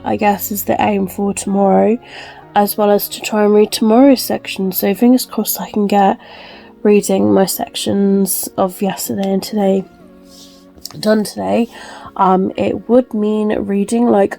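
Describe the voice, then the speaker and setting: A woman's voice: mid-range voice, gravelly, warm tone, poor audio. A young woman chatting casually in a vlog, rambling with lots of ums and likes. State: wheezy, recovering from illness. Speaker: book vlogger.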